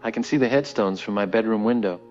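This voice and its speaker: A man's voice: fairly low pitch, fast, darkish tone, faint music behind, lightly acted. A man playing a sleepless, spooked local resident calling a radio show in an audio drama, over dull lo-fi audio. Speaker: radio caller.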